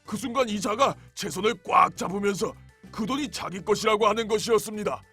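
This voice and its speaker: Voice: medium pitch, a little fast, sharp tone, slightly rough, soft background music. A performer voicing an aggrieved butcher who earnestly pleads his case in a children's storybook drama. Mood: pleading.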